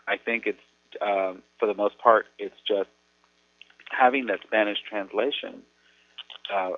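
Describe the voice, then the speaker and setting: A Mexican American man, an educator, explaining things earnestly in a measured way with thoughtful pauses. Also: lowish voice. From United States. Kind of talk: explanatory talk on radio. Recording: tinny phone-like radio audio.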